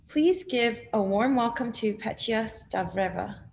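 A woman's voice: fairly high pitch, a little slow, gravelly, slight background noise. A young woman hosting a video interview, reading a welcoming introduction and then asking questions. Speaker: host. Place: home room indoors. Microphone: video call.

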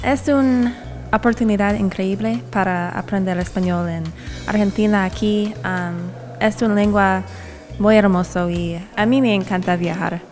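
A woman's voice: mid-range voice, steady tone, darkish tone, faint music behind. Young woman speaking learner's Spanish. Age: young adult. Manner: simple learner phrasing with "um" pauses. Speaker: student. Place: university hallway. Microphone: handheld reporter mic.

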